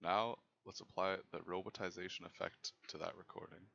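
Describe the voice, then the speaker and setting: A young man narrates a technical presentation in a very low voice with a lot of fry. His delivery is measured and formal, and the recording sounds slightly dull and lo-fi. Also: flat delivery, pushed and bright, a little hiss or hum. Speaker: presenter of a student software project.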